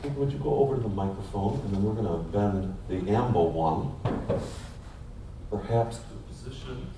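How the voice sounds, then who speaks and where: A priest giving chatty parish announcements in an easygoing, rambling way. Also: male voice, fairly low pitch, normal speed. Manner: relaxed, chatty, with restarts. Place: large church. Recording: echoey church from a distance, noisy.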